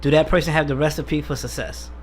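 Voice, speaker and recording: A man's voice: a little high, fairly even intonation, fast talker, slight background noise. A man hosting a casual radio interview in informal, slangy speech, putting questions to a guest. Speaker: radio host. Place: radio studio.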